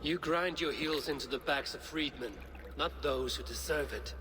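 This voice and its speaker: An actor playing a proud, defiant Norse warrior with a blunt, terse manner in a video game. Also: male voice, a little high, normal speed, long reverb tail, background noise, lightly acted. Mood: stern defiance. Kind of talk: video game cutscene acting.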